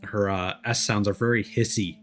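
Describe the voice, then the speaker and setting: A man with a fairly low voice hosts a casual game stream. He talks hesitantly and digressively to viewers, with faint plucked guitar music behind him. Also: fairly even intonation, normal speed, a bit bright. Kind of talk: casual live-stream chat.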